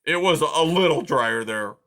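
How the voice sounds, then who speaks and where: A man voicing a grumpy, complaining male porcupine who snarls and shouts in loud outbursts. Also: a little high, lively intonation, a little slow, pitched above the performer's own voice, full character voice. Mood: grumbling.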